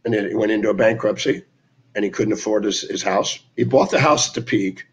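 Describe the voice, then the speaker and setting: Hoarse male speaker, husky, recounting client stories in a motivational talk. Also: on the low side, fairly quick, lo-fi sound. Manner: storytelling, quoting clients in dialogue. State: hoarse, raspy today. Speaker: speaker on his live stream.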